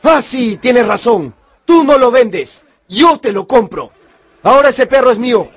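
A man's voice: extremely high pitch, animated, a little slow, breathy edge, exaggerated voice. A man playing a principled, kind but firm miner in a radio drama, earnest and commanding. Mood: firm, scornful. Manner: earnest, commanding yet compassionate.

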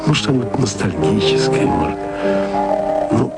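An actor playing a lonely, sentimental radio tape editor in love, confiding in short, hushed, wistful phrases in an intimate radio monologue. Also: male voice, medium pitch, animated, unhurried, loud music behind the voice, close to an everyday voice. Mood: wistful, nostalgic.

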